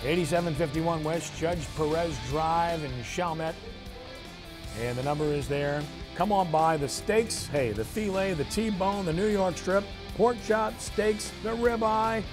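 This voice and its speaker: A man's voice: high voice, measured pace, over music. A man hosting a sports show, speaking in punchy, clipped announcer phrases. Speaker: sports talk show host. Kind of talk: sports show commentary and read promos.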